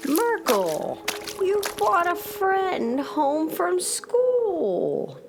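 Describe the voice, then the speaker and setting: A gentle old grandmother from a swamp planet in a children's radio play. She talks slowly and patiently, with a gravelly creak and a hopeful, gracious manner. There is faint noise behind the voice. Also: very high-pitched, huge pitch swings, full character voice. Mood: warm welcome. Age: older. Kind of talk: children's audio drama acting.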